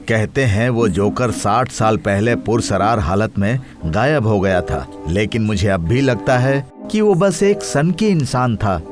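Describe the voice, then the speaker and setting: A man's voice: on the low side, animated, measured pace, hardly any pauses, over music. A man voicing a sceptical, rational husband in a horror story, dismissive and matter-of-fact. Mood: dismissive, grave. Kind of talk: audio horror story acting.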